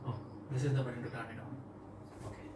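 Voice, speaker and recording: Flat-toned Indian man in an echoey room. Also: mid-range voice, fast talker. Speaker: vlogger reviewing a product. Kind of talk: casual product demonstration and review. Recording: echoey room, distant mic, clear background noise.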